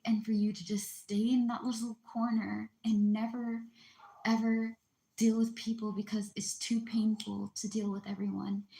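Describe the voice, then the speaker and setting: A woman gently comforting viewers in a livestream reading, speaking softly and unhurried, often close to a whisper. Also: mid-range voice, echoey room, low-quality recording. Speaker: spiritual reader on a livestream.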